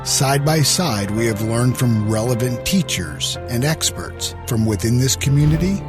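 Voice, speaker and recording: A man reading scripted inspirational narration for a promo in a measured, earnest way. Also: medium pitch, lively intonation. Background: loud music.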